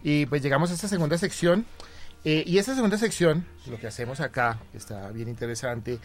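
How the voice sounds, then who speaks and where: A man from Colombia, hosting a radio talk show and introducing segments and guests in a relaxed, conversational way. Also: fairly high pitch, fairly quick, soft background music. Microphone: studio microphone.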